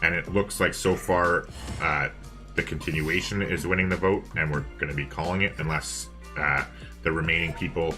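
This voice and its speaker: A man with a very low yet bright, forward voice co-casts a game livestream. He talks casually to his chat, thinking out loud as he goes, with music playing behind him. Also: steady tone, moderate pace. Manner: chatty, thinking aloud to viewers and chat. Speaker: co-host of a livestreamed multiplayer strategy game. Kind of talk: casual live stream co-casting.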